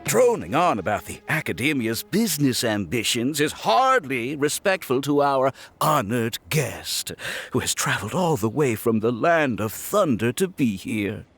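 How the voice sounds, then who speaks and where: A man voicing a rich businessman sponsor who politely scolds another in a smooth way in a video game. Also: mid-range voice, sing-song, measured pace, fairly bright tone, soft background music. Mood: reproving.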